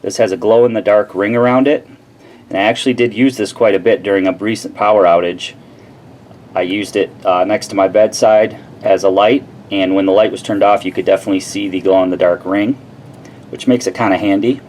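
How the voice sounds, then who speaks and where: A man's voice: fairly low pitch, fairly even intonation, a little fast, darkish tone. A man giving a casual, plain-spoken product review and demonstration in an informal way. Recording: clearly noisy background.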